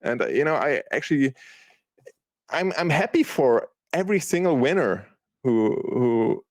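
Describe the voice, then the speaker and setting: A man's voice: medium pitch, very animated, measured pace, no room sound. Hesitant German-accented man. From Austria. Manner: hesitant, many restarts. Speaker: podcast guest. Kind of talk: casual chat.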